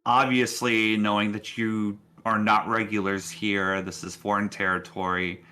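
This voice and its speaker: A man casually narrating a tabletop roleplaying game as game master, explaining things in a halting, informal way. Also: fairly low pitch, flat delivery, pushed and bright, smooth.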